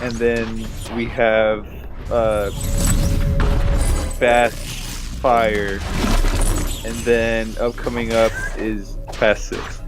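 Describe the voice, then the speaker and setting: A man's voice: lowish voice, flat delivery, extremely slow, pauses often. A man in casual, crude, sarcastic banter as a co-commentator on a video game playthrough. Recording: music and game sounds behind. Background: loud game music and effects.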